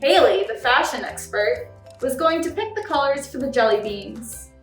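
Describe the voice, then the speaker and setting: A woman reads children's stories aloud in a slightly high voice. She is recorded in an echoey space, a little way from the microphone. She presents as a volunteer story time reader. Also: animated, normal speed. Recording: roomy echo, distant mic, music behind.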